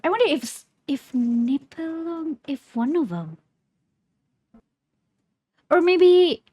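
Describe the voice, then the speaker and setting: A woman's voice: extremely high pitch, animated, takes it slowly, mellow, no echo, lo-fi sound. A woman giving casual live reaction commentary as a streamer, hesitant and repeating words as she thinks aloud. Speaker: streamer reacting to a game trailer. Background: faint music from the trailer.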